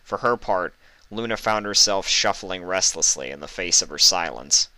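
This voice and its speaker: A man narrates a written story in a very low voice. The tone is forceful and clear, with crisp articulation. He sounds close to the microphone, with hardly any room sound. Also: expressive, normal speed, no room sound. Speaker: fan fiction narrator.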